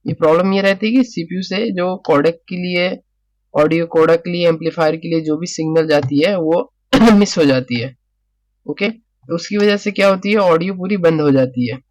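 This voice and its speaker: Higher-pitched man giving a casual repair tutorial. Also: normal speed, no echo. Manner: explanatory, repeats words and phrases, often checks in with "okay"; mixes in English technical terms. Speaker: phone repair technician and tutor.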